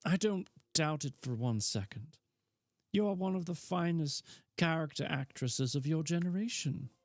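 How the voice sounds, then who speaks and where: A man reading aloud and voicing a worried, well-spoken young Victorian bride in a higher voice. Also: moderate pace, no room sound, studio quality, lightly acted. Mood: admiring. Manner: worried, earnest.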